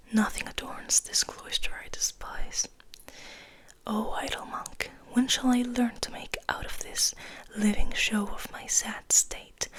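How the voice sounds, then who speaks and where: A woman speaking in a breathy, intimate whisper, soothing the listener as she reads and rambles in an ASMR style. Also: a little high. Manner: intimate, soothing, repeats words softly. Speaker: ASMR host reading poetry aloud.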